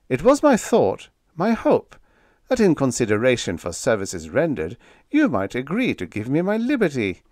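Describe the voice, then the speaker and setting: A man's voice: medium pitch, no room sound, clean studio sound, pitched above the performer's own voice. A man voicing a gangly, nervous and earnest spaceship lieutenant, faltering and pleading in a pulp space story.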